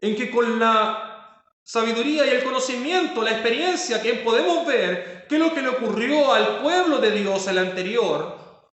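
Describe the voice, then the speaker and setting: A man's voice: very high-pitched, animated, normal speed, fairly bright tone, heavy reverb. A man preaching a sermon as pastor, stressing points with rhetorical questions.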